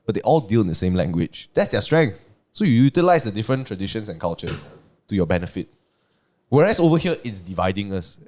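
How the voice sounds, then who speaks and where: Young man, Malaysian English accent, low voice, very lively pitch, fast talker, argumentative, drops "bro" and "lah". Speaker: podcast co-host. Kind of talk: casual podcast chat.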